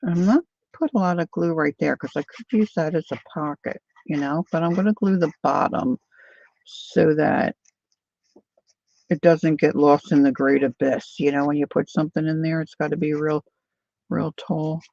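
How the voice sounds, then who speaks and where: An older woman chatting casually to viewers while she crafts, thinking out loud as she works. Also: deep voice, moderate pace, gravelly, no echo. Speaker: craft livestreamer. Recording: dull lo-fi dry sound.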